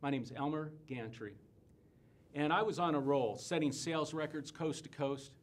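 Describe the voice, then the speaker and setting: A man's voice: on the high side, moderate pace, lightly acted. An actor playing a fiery conman revivalist preacher in a theatrical stage monologue, bombastic and folksy, moving between confiding and exhorting. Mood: confiding, rueful. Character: fiery conman revivalist preacher crusading against booze and sin.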